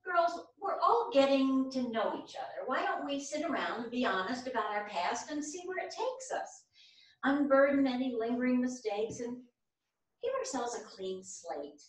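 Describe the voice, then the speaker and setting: A woman's voice: high voice, expressive, moderate pace. A woman in a staged play reading, playing a candid older widowed mother with an earnest, storytelling delivery. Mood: urging, organizing. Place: theatre stage, indoors. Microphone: far camera. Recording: echoey theatre stage from far off.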